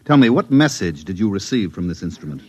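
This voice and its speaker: An actor playing a firm government security agent who interrogates calmly and persistently, in a 1950s radio drama. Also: male voice, deep voice, fast talker, husky, full character voice. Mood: interrogating. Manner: calm, pressing interrogation.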